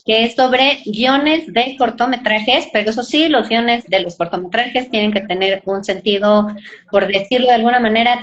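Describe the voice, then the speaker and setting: A woman with a Mexican accent hosts a relaxed-format interview, speaking conversationally to her guest. Her audio is somewhat dull and lo-fi, as on an online call. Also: medium pitch. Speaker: interview host.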